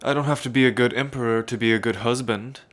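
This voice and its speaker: A man's voice: mid-range voice, steady tone, fast talker, a bit bright, lightly acted. A man playing an arrogant, sadistic would-be emperor in an ASMR roleplay, with a pompous, gloating manner. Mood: smug, gloating. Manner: pompous, gloating, with frequent smug chuckles. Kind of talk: ASMR roleplay villain monologue.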